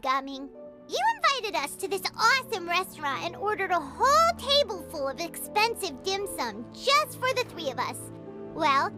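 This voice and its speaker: A woman voicing a tiny, chirpy, nosy female fairy companion in a video game, who talks about herself in the third person. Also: very high voice. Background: game music.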